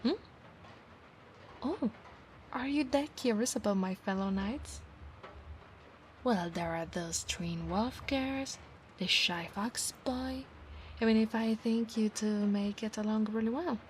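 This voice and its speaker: A woman with an Italian accent voicing an affectionate, possessive centaur knight in a soft ASMR roleplay, often slipping into breathy whispers. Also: a little high, slow pace, pauses often, slight background noise. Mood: chatty, teasing. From Italy.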